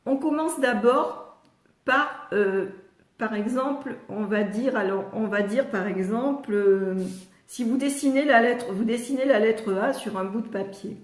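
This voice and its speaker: A woman's voice: a little high, a little slow. An older woman with a slightly congested voice, talking parents through how children learn letters in an easy, chatty way. She calls viewers by name as she answers them live, and the sound bounces around a roomy space. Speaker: retired speech therapist answering parents live. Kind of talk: live Q&A teaching. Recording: roomy echo.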